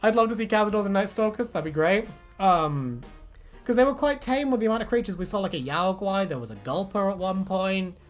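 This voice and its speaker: Fast-talking, sweary man chatting. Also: high-pitched, expressive, soft background music. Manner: sweary, rambling. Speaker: video host. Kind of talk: casual fan discussion chat.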